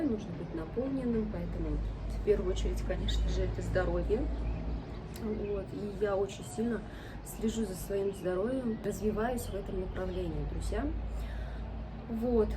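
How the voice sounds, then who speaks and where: Low-pitched woman vlogger, noisy recording. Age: 30s. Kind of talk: casual talk to camera, introducing oneself. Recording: clearly noisy amateur vlog sound.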